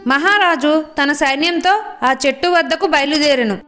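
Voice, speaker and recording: A woman's voice: high voice, normal speed, a bit bright, soft background music. A woman reading scripted narration for a children's moral story.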